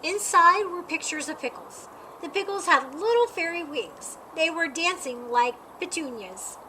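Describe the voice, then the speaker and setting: A woman narrates a picture book for children in a high, bright voice. Her reading is expressive and unhurried, with some noise behind her. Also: poor audio. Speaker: storybook reader.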